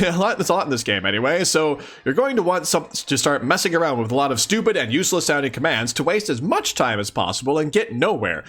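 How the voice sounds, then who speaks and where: Lively, expressive man reading aloud. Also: fairly high pitch. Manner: animated, expressive reading with mock-incredulous asides. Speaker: streamer reading a comic aloud. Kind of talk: casual live read-along with commentary.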